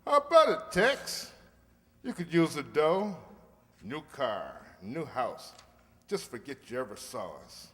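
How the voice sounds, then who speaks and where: A man's voice: medium pitch, big pitch swings, takes it slowly, fairly bright tone. A man playing a slick, pushy gang leader in an old-time radio crime drama, tough-talking, streetwise and wheedling. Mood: coaxing. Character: slick, pushy crook leader, bargaining and threatening. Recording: echoey hall stage recording.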